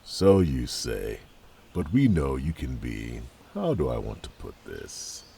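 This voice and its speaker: A man playing a dry, weary royal knight and protector in a fantasy audio drama, with a gravelly voice. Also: very deep voice, wildly swooping pitch, takes it slowly, mellow, exaggerated voice. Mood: dry exasperation.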